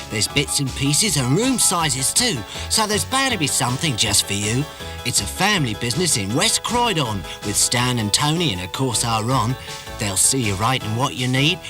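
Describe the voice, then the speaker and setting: A man performing a rhyming advert in a sing-song comic verse style. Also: on the high side. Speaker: advert voice-over.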